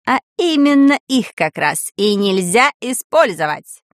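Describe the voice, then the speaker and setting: Woman acting a pompous foreign-accented professor, slow and swooping. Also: high voice, very animated, creaky, bright, forceful tone, dry recording, studio quality, exaggerated voice. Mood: smug, mocking. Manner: condescending, drawn-out, mocking. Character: pompous, scornful male sorcery professor with broken grammar.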